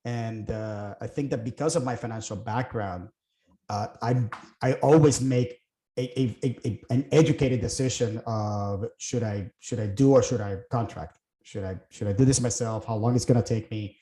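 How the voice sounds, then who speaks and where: Relaxed middle-aged man from Puerto Rico. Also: on the low side, normal speed, echoey room. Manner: relaxed, thinking aloud with many fillers and restarts. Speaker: guest, real estate investor. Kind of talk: casual interview chat.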